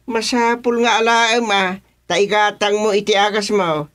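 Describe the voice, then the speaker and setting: A man playing an authoritative village chairman in a radio comedy drama, speaking with an Ilocano accent in a commanding, deliberate manner. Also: sits high, steady tone, a bit bright. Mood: stern, presiding. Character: authoritative village chairman presiding over a dispute.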